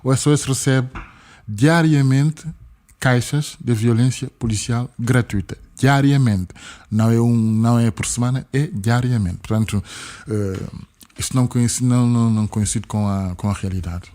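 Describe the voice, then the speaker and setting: A man from Senegal speaks with a French-tinged accent and a mellow, warm tone. He talks earnestly as an interview guest, repeating words to drive a point home. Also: medium pitch, normal speed. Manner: emphatic, repeats words for stress. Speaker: guest, activist. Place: podcast studio.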